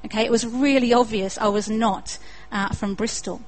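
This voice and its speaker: A woman's voice: a little high, steady tone, moderate pace. A young woman with an Australian accent preaching a sermon in an earnest way, building to emphatic repetition.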